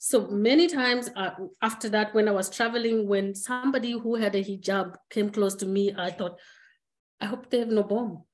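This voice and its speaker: A woman's voice: medium pitch, fairly even intonation, normal speed. Earnest middle-aged woman from Zimbabwe. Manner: reflective, earnest, with hesitant fillers and restarts. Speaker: guest speaker. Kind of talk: candid personal conversation. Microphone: webinar video call.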